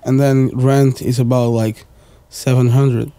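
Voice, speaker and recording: A man's voice: mid-range voice, little pitch movement, measured pace, dark tone, clear, resonant tone, no room sound. A man, chatting casually as a podcast guest, rambling with lots of fillers and the odd swear word. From Portugal. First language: Portuguese. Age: 20s. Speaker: guest, music producer.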